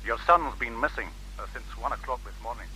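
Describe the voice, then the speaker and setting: A hesitant policeman in a radio crime drama. He is courteous and apologetic, choosing words carefully. The voice is bright and mid-pitched, with faint hum behind it. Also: poor audio. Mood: cautious, tactful. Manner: cautious, apologetic, halting. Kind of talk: British radio drama acting.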